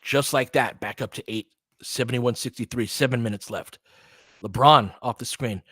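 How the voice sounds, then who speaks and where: A man's voice: mid-range voice, normal speed, bright, forceful tone, breathy edge, dry recording. A man doing casual live basketball play-by-play and analysis, mixing short clipped phrases with conversational asides as a partisan fan of the team.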